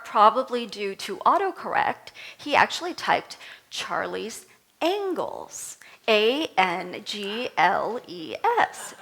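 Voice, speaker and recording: A middle-aged woman from Vietnam, a native Vietnamese speaker, giving a conversational sermon with animated storytelling and self-deprecating humor. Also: mid-range voice, vocal fry. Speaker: reverend giving a talk. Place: temple service.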